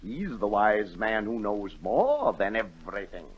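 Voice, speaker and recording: A blustering, imperious king from a 1940s radio play. He draws out his commands with huge swoops of pitch, exclaiming and repeating himself. The vintage recording is dull and narrow. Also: very animated, a little slow, breathy edge, exaggerated voice. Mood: commanding. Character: blustering, easily outraged king.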